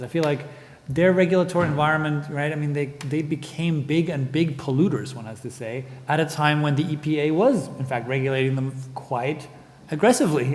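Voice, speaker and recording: A man's voice: fairly high pitch, a little mellow. A man from Germany, speaking as a panelist in a thoughtful, digressive way and often adding "right?". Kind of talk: panel discussion. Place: conference hall. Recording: long hall reverb.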